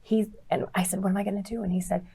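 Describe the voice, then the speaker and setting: A middle-aged woman telling personal stories as an interview guest, in an animated conversational way, acting out bits of dialogue. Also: mid-range voice, very fast, creaky, husky. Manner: animated storyteller, acts out quoted dialogue. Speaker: guest, dating and relationship coach.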